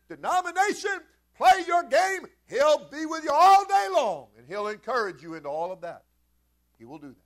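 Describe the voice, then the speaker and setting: A man preaching a sermon as a pastor, folksy and informal, moving between conversational storytelling and emphatic, animated delivery. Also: sits high. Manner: animated, swinging from quiet conversational asides to loud emphatic shouts. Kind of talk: Pentecostal-style sermon with storytelling.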